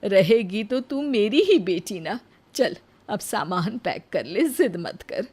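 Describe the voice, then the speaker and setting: A woman's voice: mid-range voice, expressive, slow pace, darkish tone, slightly rough. A woman voicing a scheming middle-aged mother in a moral story, bossy and coaxing. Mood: pushy. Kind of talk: animated moral-story acting.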